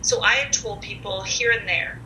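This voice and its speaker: Guest, woman in her forties, loud and very bright voice, candid and chatty, echoey room. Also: mid-range voice, fairly even intonation, moderate pace. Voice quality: loud, comfortable speaking voice. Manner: chatty and candid, with frequent fillers. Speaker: podcast guest. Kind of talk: casual conversational storytelling. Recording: echoey room with faint noise.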